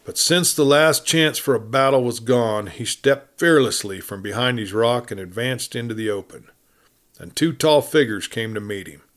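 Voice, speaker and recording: A man reading a western tale as a dramatic storyteller, deliberate and dropping to a hush at phrase ends. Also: medium pitch, expressive. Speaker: storyteller, audiobook reader.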